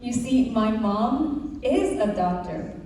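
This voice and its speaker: Playful woman, slow and lively. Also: a little high. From Hong Kong. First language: Cantonese. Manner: playful, deliberate, with comic timing. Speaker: middle school Mandarin teacher. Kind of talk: humorous school assembly speech. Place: large school hall. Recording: echoey hall from far off with faint room noise.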